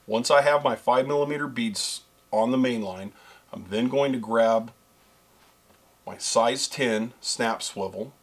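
A man's voice: mid-range voice, unhurried. Instructive man walking through fishing setup. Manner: step-by-step instructive, addresses viewers as folks and guys. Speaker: fishing pro staffer and co-host. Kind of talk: how-to demonstration. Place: small studio set with backdrop.

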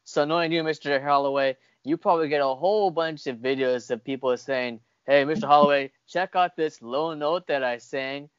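A man's voice: a little high, normal speed, hardly any pauses, clear, resonant tone, no room sound. A young adult American man hosting a casual interview over a video call. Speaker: host. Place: room at home, indoors. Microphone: earphone mic on video call. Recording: dull lo-fi video call audio.

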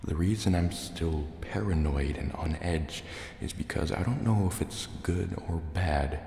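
A man voicing a huge, proud, wary sea serpent dragon in a soft-spoken, menacing ASMR roleplay, deliberate and a little hesitant. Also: low voice, creaky, slight background noise. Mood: wary, threatening. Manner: slow, deliberate, threatening yet hesitant. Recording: roomy cave reverb, faint water ambience. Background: water sounds and cave ambience.

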